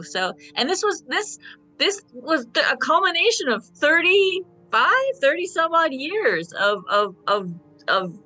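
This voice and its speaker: A woman in her fifties with a bright, forward voice talks casually as a panel guest. She is an emphatic fan who repeats phrases to drive her point home. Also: fairly high pitch, rough recording. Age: 50s.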